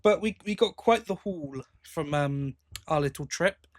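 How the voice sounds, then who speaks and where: A British man with a voice pitched a little high for a male speaker hosts a casual podcast. He is chatty and rambling, often breaking off mid-thought to correct himself or take up another. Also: animated, unhurried. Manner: chatty, rambling, self-interrupting. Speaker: podcast host. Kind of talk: casual chat.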